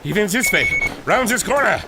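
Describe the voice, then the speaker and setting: A man playing an earnest, excitable gadget inventor, precise and theatrical, in an old-time radio adventure. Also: high voice, normal speed, over loud music. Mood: excited. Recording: very noisy, with clicks.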